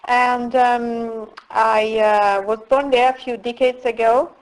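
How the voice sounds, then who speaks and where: A middle-aged woman from Italy, telling her story as an interview guest in a slow, reflective way with frequent pauses. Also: on the high side, steady tone, poor audio. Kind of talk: casual interview.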